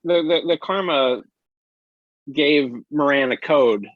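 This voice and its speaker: A man's voice: on the high side, takes it slowly, dry recording. Man, dry and slightly muffled recording. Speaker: interview guest, audio drama creator. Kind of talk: casual explanatory interview talk. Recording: dry, slightly dull sound.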